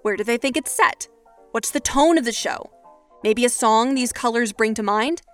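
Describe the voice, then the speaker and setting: A woman's voice: a little high, normal speed, soft background music. A woman hosting an advice podcast, moving between scripted narration and interview talk. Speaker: podcast host.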